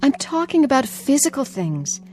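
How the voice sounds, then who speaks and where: An actress playing a worried, gentle young wife and granddaughter in a drama, speaking earnestly and pleadingly. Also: female voice, high voice, big pitch swings, moderate pace. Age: young adult. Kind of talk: dramatic acting.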